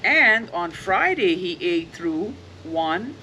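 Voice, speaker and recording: Slow, lively older woman reading to children. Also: lowish voice. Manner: prompting, questioning, emphatic counting. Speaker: children's storytime host. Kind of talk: interactive read-aloud for young children. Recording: noisy action-camera sound.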